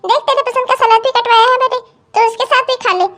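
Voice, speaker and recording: A woman's voice: extremely high pitch, fairly even intonation, fast, a bit bright. A woman playing a domineering, hot-tempered mother-in-law in a family melodrama, scolding and commanding. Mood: doting, complaining. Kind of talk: Hindi family melodrama story acting.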